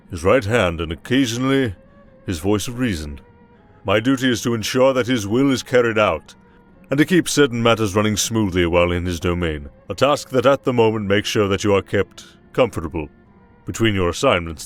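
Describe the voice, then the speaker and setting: A dignified vampire court aide acted by a man speaks in a fairly low voice. He is stern yet courteous, with formal, measured phrasing suited to a fantasy ASMR roleplay. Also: lightly acted.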